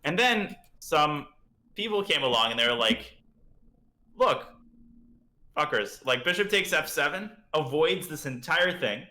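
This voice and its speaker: A man's voice: on the high side, lively intonation, a little slow, long pauses, sharp tone, roomy sound. A man with a slightly parched throat, chatting casually as he teaches chess on a live stream and thinks aloud about moves.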